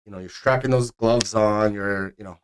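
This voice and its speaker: Low-voiced podcast host. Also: male voice, normal speed, a little hiss or hum. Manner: agreeable, frequent affirmations. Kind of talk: casual interview chat.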